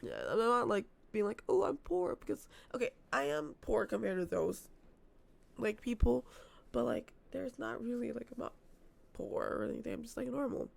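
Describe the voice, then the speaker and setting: A young woman vlogging from her apartment, losing her voice and hoarse, rambling casually with lots of fillers. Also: fairly high pitch, very animated, normal speed. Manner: rambling, filler-heavy, self-described yappy. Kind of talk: casual unscripted vlog chat.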